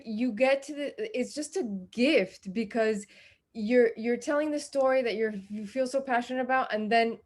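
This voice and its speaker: Effusive woman with a slightly high voice. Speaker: playwright on a panel. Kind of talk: casual, heartfelt panel talk.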